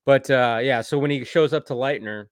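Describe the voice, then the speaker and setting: A man talks through an episode recap in a loose, casual way. His voice sits at an average pitch, recorded close and dry with no room sound. He rambles, leaning on "like" and "you know" as he goes. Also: fairly quick, clean tone, no echo. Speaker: co-host of a cartoon episode review stream.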